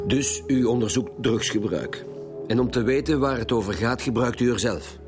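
An actor with a Flemish accent playing a composed, dry male police commissioner in a radio drama, measured and blunt. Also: medium pitch, over music, lightly acted. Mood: stern. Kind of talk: naturalistic radio drama dialogue.

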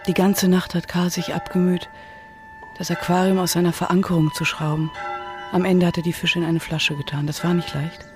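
An actor in a German radio play playing an observant companion who speaks plainly and reflectively. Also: male voice, sits high, flat delivery, normal speed, music in the background, close to an everyday voice. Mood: calm reflection. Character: observant, helpful companion telling events simply.

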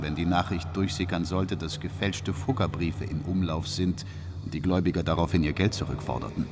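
An actor playing a formal, deferential Renaissance banker reading out a grave letter in a solemn, measured manner for a radio drama. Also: male voice, fairly low pitch, lightly acted. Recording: long hall reverb, music underneath. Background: music.

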